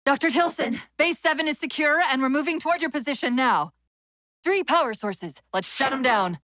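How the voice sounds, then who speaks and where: A woman voicing a video game's female AI companion, an urgent, wry military guide with crisp, technical, commanding delivery. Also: high voice, lively intonation, normal speed, sharp tone, dry recording, studio quality. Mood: brisk, commanding. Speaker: video game voice actor. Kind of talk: video game dialogue, tactical callouts.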